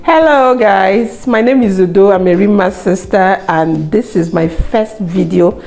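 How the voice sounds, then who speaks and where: A woman's voice: mid-range voice, a little slow, darkish tone. A woman, the host's sister, appearing as a guest and giving short, shy replies.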